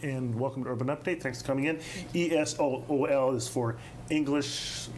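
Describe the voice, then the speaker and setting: Male talk show host, mid-pitched, measured delivery with frequent uh fillers, faint hiss behind. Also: fairly even intonation. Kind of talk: studio interview.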